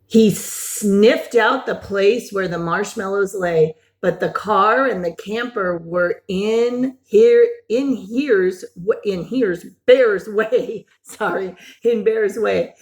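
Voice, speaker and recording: A woman reading a picture book aloud to young children in an expressive, animated storytelling style, breaking off for simple questions, gasps and exclamations. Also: medium pitch, takes it slowly.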